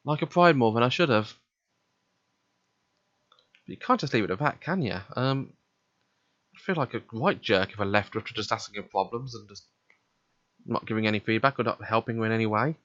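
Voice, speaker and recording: A man's voice: on the low side, fairly quick, pauses often, a bit bright, dull audio. A man reading a visual novel aloud in a casual, conversational way, acting out dialogue as he goes. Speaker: let's play reader voicing the protagonist.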